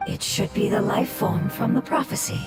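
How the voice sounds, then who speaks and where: A woman voicing a solemn, cautious otherworldly being in a video game, wise and formal, with a breathy, measured delivery. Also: natural voice. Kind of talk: scripted video game voice acting. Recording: echoing, under loud music. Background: game music.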